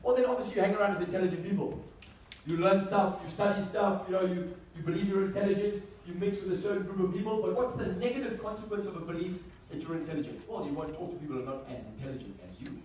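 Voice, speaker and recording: A man's voice: sits high, fast, darkish tone. Guy doing a motivational seminar, emphatic, asks rhetorical questions, mic far off in a really echoey room. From South Africa. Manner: emphatic, repeats key phrases, rhetorical questions. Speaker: motivational speaker. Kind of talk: motivational seminar talk to a live audience. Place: echoey indoor venue with an audience. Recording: echoey room, distant mic, faint noise.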